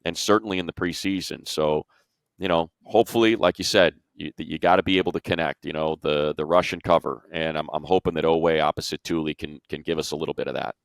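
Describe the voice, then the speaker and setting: A man with a very low voice, recorded close and dry. He talks sports casually and informally as a commentator, letting his analysis run on in long conversational stretches. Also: steady tone, fast talker, creaky, dry recording. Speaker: sports radio commentator and show panelist.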